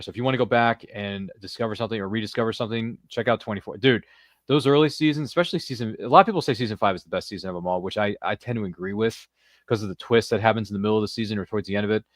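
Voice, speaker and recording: Fast-talking American man hosting a podcast. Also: on the low side, no room sound, clean studio sound. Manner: jokey, slangy. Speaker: podcast host. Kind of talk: casual podcast chat. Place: studio.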